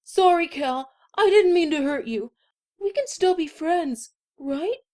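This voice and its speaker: A woman's voice: very high voice, lively intonation, a little slow, a little mellow. A woman voicing a friendly, eager young boy dragon with a casual, earnest manner in an audio drama. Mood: apologetic. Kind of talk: scripted audio drama character acting.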